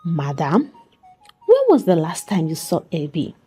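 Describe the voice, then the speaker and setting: A woman voicing a calm, methodical male police officer in a dramatic story, formal and probing. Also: sits low, measured pace, slightly rough, soft background music, exaggerated voice.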